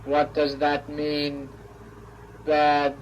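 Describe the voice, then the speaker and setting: Robot kid voice, slightly high, totally flat droning, old scratchy radio sound. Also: male voice, highs cut off. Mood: innocent. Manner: flat, slow, monotone droning. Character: childlike male robot, flat and lovesick. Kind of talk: 1950s radio drama acting.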